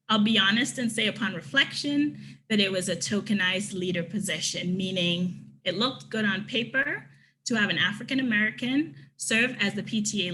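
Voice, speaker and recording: Bright-voiced woman from Trinidad presenting. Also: mid-range voice, low-quality recording. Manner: earnest, reads out participants' comments and answers each one warmly. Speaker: conference presenter. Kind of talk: online workshop talk.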